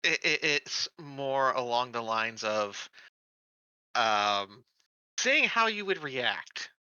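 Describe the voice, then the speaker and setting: A man playing a tabletop roleplaying game, stammering at first and then speaking slowly and deliberately in character. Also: medium pitch, lively intonation, bright, forceful tone, clear, resonant tone, dry recording, dull audio. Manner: halting, stammering starts, then slow measured speech. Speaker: player. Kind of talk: in-character roleplay as a synthetic colonist.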